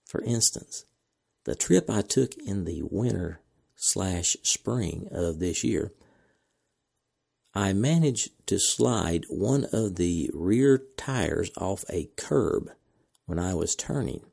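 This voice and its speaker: A man hosting a podcast, reading announcements in a measured, deliberate way with casual asides, his voice cracking from a slight cold. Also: sits low, animated, creaky, dark tone, slightly rough. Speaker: podcast host.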